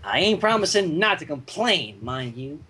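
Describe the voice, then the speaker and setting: A man's voice: a little high, big pitch swings, moderate pace, sharp tone, slightly rough, heavily acted. A man voicing a stubborn, grumbling female pony farmer in a folksy, reluctant manner for a story reading. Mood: grudging.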